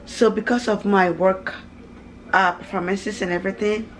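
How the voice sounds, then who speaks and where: A woman's voice: medium pitch, steady tone, moderate pace, slight background noise, rough recording. Woman vlogging casually in her kitchen. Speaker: family vlogger and mother. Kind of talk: casual chat to camera. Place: small home kitchen, indoors. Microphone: camera held at arm's length.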